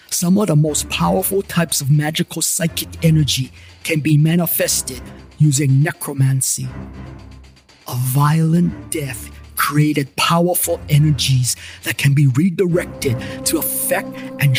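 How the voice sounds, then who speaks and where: Male narrator for a comic paranormal audio story, wisecracking and deadpan, slightly high voice, over music. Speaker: narrator and lead character, a paranormal investigator. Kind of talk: first-person comedic audio drama narration. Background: background music.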